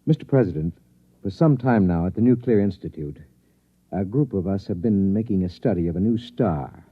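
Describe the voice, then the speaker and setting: An actor playing a grave scientist in a 1950s radio drama, speaking in a formal, measured, solemn way. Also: male voice, low voice, animated, breathy edge, dull audio. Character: grave scientist professor bearing news of doom. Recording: thin band-limited vintage radio recording.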